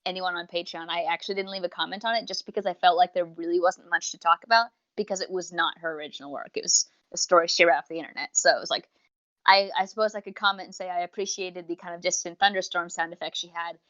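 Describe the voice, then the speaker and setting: Woman, slightly low pitch, dull lo-fi mic, casual podcast co-host, chatty, wordy review talk. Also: steady tone, quick pace, fairly bright tone. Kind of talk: casual unscripted review chat. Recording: slightly dull, lo-fi microphone sound.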